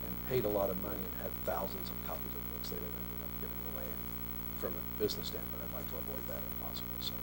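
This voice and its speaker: A man in his forties with a lowish voice, from Australia, who chats in long, loose sentences and often starts over. A clear electrical hum runs under the studio recording. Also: rapid-fire. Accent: American English, faint Australian trace lost. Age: 40s. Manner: relaxed, talks in long loose sentences with restarts. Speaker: author guest. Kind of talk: casual TV interview chat.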